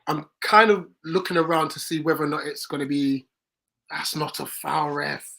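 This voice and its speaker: A man with a husky, slightly high voice, talking casually over a live football match. He chats loosely, reacts to each bit of play and reads out comments from viewers. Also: steady tone, moderate pace, bright, forceful tone. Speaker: co-host of a fan watchalong. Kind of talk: casual match watchalong chat.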